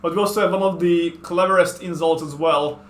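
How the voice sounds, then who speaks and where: A man's voice: on the high side, steady tone, fairly quick, reverberant, slight background noise. A man from Hungary with a Hungarian accent, chatting casually on a stream in a rambling way with many restarts. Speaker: streamer host.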